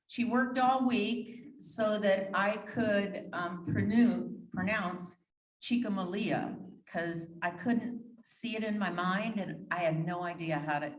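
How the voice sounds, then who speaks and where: A woman's voice: lowish voice, a little hiss or hum. Chatty woman in an echoey room. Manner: chatty, self-deprecating. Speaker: club member introducing speaker. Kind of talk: introduction speech.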